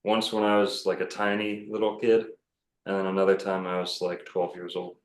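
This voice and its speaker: A man's voice: low-pitched, flat delivery, moderate pace, echoey room, a little hiss or hum. A man talking casually as a podcast guest, rambling and self-assured with many fillers and restarts. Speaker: podcast guest, bookstore owner.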